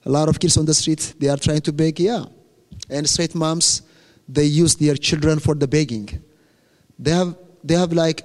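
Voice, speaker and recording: A man's voice: fairly high pitch, a little mellow, big-room sound. A young man from Ethiopia with an Ethiopian accent, speaking English as a second language while giving an earnest, plain-spoken testimony to a church. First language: Amharic. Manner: earnest, plain-spoken, self-correcting, joking about his accent.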